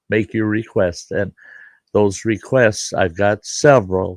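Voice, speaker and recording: A hoarse older man hosts a relaxed live stream, his low voice rough and gravelly. He talks slowly and haltingly, wandering between thoughts with plenty of fillers, recorded dry and close. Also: no room sound. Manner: halting, rambling, many fillers. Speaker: livestream host. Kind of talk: casual live stream chat.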